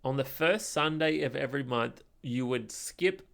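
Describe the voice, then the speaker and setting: A young man co-hosting a podcast, chatting and telling stories informally, haltingly, often checking in with a questioning tag. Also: medium pitch, gravelly, fairly bright tone. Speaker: podcast co-host. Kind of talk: casual chat, storytelling.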